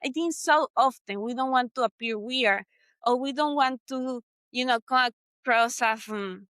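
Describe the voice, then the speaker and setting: Venezuelan accent lady doing a solo pep talk, kinda high voice, repeats stuff for emphasis, dead dry room. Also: normal speed, a bit bright, clean tone, no echo. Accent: Venezuelan Spanish. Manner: earnest, reflective, repeats points for emphasis. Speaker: podcast host.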